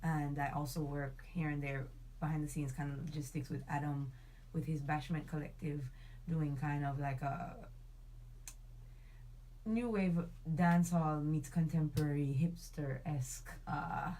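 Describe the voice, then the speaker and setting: A Jamaican woman with a notably low voice talks casually as a guest. She sounds relaxed, laughs easily and fills her talk with agreeing yeahs. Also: unhurried, slight background noise, low-quality recording. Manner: relaxed, laughs often, says yeah a lot. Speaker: guest, party organizer. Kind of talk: casual conversation.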